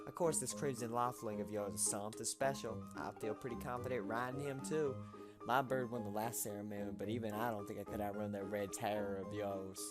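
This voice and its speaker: A man's voice: fairly low pitch, steady tone, fairly quick, lightly acted. A man voicing a friendly, boastful male knight student who rides birds in a video game. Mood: chatty, proud. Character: chatty, self-assured male bird-riding knight student. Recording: loud game music behind.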